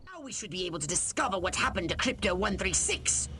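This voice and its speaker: An actor playing a pompous, scheming alien commander who gives precise mission briefings in a video game. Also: male voice, sits high, big pitch swings, fast, noisy background. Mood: grave, intrigued. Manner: pompous, precise, theatrical delivery.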